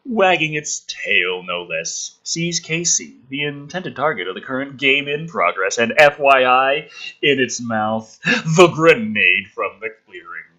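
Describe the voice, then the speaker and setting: A man hosting a theatrical script reading, with dramatic emphasis and sarcastic, exasperated commentary in a rough-edged voice. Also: medium pitch, sing-song, unhurried, bright, forceful tone, highs cut off. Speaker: host reading and mocking a film script.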